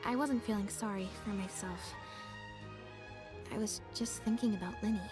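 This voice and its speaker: Reserved, soft-spoken young woman played by an actress. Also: mid-range voice, close to an everyday voice. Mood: subdued, guarded. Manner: understated, measured, soft-spoken. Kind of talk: scripted video game voice acting. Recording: game audio under clear music. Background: background music.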